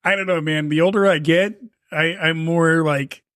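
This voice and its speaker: A man's voice: on the high side, steady tone, normal speed, a bit bright, clean tone, dry recording, studio quality. A man in his fifties co-hosting a podcast, talking in a laid-back, rambling way, swearing often and restarting his sentences. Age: 50s. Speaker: podcast co-host. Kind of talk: casual chat.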